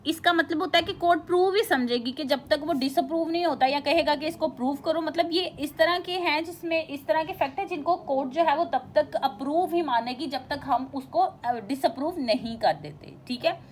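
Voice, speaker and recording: A woman teacher giving an informal lecture, explaining and reading out definitions in a halting, repetitive way with frequent check-in tags. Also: high-pitched, slight background noise.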